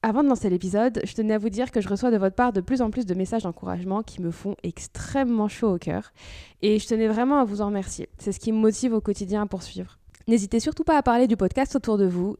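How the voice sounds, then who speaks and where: A woman's voice: medium pitch, quick pace, warm tone. A woman hosting an interview podcast, introducing the show and talking conversationally with her guest. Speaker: podcast host.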